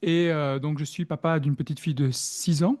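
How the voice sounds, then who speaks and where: Man, Belgian French accent, mellow warm tone, slightly high voice, reflective, hesitant podcast guest. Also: steady tone, moderate pace. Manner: reflective, hesitant with frequent fillers. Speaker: productivity coach, guest. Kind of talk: podcast interview.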